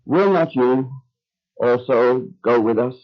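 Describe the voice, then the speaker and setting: Guy doing a devout bible patriarch, serious n measured, medium voice, slightly muffled retro drama sound. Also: medium pitch, close to an everyday voice. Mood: earnest conviction. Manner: earnest, measured, formal. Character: devout, resolute man of faith, respectful son. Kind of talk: biblical radio drama acting. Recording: dull lo-fi recording.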